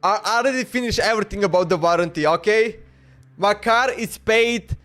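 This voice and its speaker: High-voiced man casually streaming a game. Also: moderate pace, fairly bright tone. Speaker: livestreamer playing a video game. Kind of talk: casual gaming commentary, thinking aloud. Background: game music.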